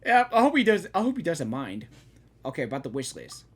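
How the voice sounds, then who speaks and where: A woman hosting a casual game reaction, expressive and giggly, often repeating her phrases. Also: deep voice, brisk, slightly rough. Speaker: gaming reaction host.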